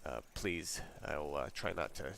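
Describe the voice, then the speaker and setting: A man's voice: very deep voice, unhurried, gravelly. A congested man casually talking through a flight sim checklist as a streamer, rambling and reading each item aloud. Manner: relaxed, rambling, reads checklist items aloud. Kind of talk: casual walkthrough narration. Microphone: desk mic. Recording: noisy home mic recording.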